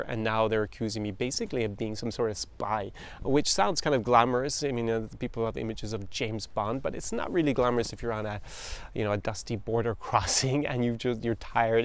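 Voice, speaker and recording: An Irish man in his thirties, hosting a podcast and telling a story from his travels in a chatty, anecdotal way. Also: on the low side. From Ireland. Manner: anecdotal, quotes others in voices, chuckles. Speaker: podcast host.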